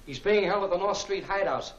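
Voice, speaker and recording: A man's voice: sits high, brisk, highs cut off, close to an everyday voice. An actor playing a brusque, defensive male gangster henchman in a 1940s film serial. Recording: very noisy old film soundtrack with clicks.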